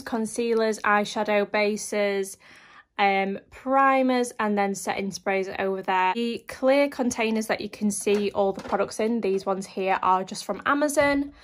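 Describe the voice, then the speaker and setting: A woman's voice: mid-range voice, unhurried. Chatty young woman, slightly nasal. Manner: chatty, enthusiastic, repeats "really, really". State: slightly nasal from hay fever. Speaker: beauty vlogger. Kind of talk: casual vlog talk to camera. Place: makeup room at home, indoors. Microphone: camera in front, some close-up product shots.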